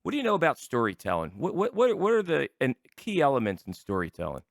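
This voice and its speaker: A man's voice: mid-range voice, wildly swooping pitch, fast, creaky, no room sound, clean studio sound. An older man hosting a casual interview show, asking rambling questions in a conversational way.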